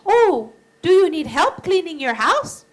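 A woman voicing a gentle, helpful little boy in a children's story, speaking softly and plaintively. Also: extremely high pitch, sing-song, unhurried, pitched above the performer's own voice, heavily acted. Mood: cheerful.

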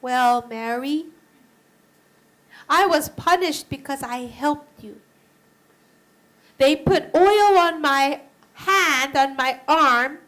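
A woman voicing a gentle, helpful little boy in a children's story, speaking softly and plaintively. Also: high voice, voice pushed up, poor audio.

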